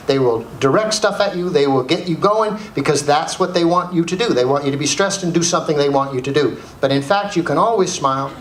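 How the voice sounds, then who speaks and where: A middle-aged Canadian man giving a humorous motivational keynote as an animated storyteller, repeating phrases for emphasis. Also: fairly high pitch, brisk, hardly any pauses. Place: indoor speaking venue with audience. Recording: roomy live hall, faint background noise.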